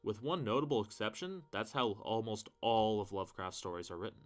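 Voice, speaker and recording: A man's voice: on the low side, expressive, a little fast. A man giving casual literary commentary as a video host, with a wry, self-deprecating manner and mildly sweary asides. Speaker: video host reviewing horror fiction.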